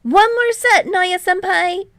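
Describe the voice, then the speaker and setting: Boastful, boisterous teen boy athlete played by a woman reading a story; high pitch, shouty, eager delivery. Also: takes it slowly, dry recording, clean studio sound, heavily acted. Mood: excited, urging. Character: loud, excitable, boastful teenage boy volleyball player.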